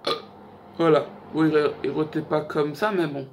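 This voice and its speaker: A young man with a slightly high voice chats casually as a YouTube host running through a list. His talk is slangy and joking, and he rambles as he goes. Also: steady tone, moderate pace, slight background noise. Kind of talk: casual chat to camera, countdown list.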